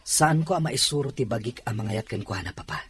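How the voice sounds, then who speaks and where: A young man playing an unhappy married son in an audio drama, pained and weary, with a husky, breathy voice. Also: medium pitch, expressive, brisk, a bit bright, a little hiss or hum, natural voice. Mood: pained, resentful. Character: unhappy, resentful married son opening up to father.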